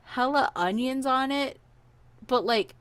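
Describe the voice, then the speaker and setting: Chatty high-voiced woman telling a story. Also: slow, clear, resonant tone, no echo. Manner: animated, chatty, acts out dialogue. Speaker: podcast host telling a personal story. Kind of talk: casual conversational storytelling.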